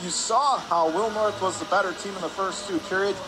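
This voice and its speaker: A man calling live radio hockey play-by-play in clipped phrases from an ice rink. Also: high-pitched. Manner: clipped rapid phrases, rising on shots. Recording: clear background noise, arena ambience.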